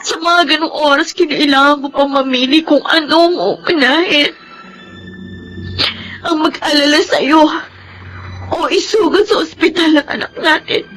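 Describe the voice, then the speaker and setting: Casting: resentful, sobbing Filipino wife for radio drama, very high-pitched voice, faint music underneath. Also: female voice, a little slow, long pauses, a bit bright. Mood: tearful reproach. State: crying. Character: anguished, resentful pregnant young wife of an absent soldier.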